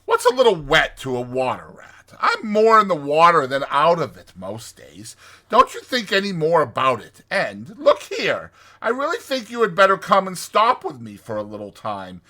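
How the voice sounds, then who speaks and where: A man's voice: fairly high pitch, wildly swooping pitch, sharp tone, voice pushed up, full character voice. A man voicing a cheerful, kindly water rat in a dramatized storybook, with hearty, emphatic delivery. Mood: cheerful, reassuring. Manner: hearty, exclamatory, drawn-out emphatic words.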